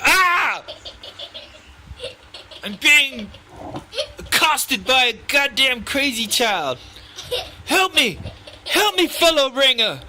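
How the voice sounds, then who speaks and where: Man doing a high, panicked little boy puppet. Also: sing-song, slow, pauses often, pushed and bright, heavily acted. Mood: panicked, pleading. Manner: melodramatic, pleading. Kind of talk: improvised home puppet show. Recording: noisy amateur home video.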